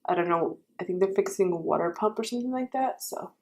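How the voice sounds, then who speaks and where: A young woman with a raspy voice giving a chatty makeup tutorial, correcting herself and offering friendly advice. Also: medium pitch, quick pace, heavy background noise. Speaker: beauty vlogger.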